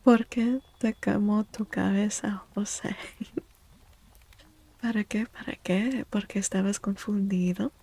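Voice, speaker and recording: Woman, soft-spoken ASMR streamer, slow pace, creaky fry, breathy near-whisper, playful, gentle. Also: medium pitch, steady tone. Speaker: live streamer reading tarot cards for chat. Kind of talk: soft-spoken ASMR chat, greeting viewers.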